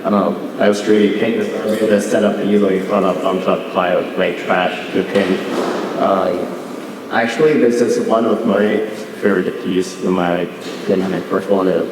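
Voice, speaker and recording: A man talks through his slides in a fairly low voice, stopping often for ums and uhs. He is picked up from some distance in a big, very reverberant gallery hall with a faint hiss behind him. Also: steady tone, moderate pace. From Beijing, China. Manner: hesitant, many fillers and restarts. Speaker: visiting artist. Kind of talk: artist talk with slides. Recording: echoey gallery hall from far off.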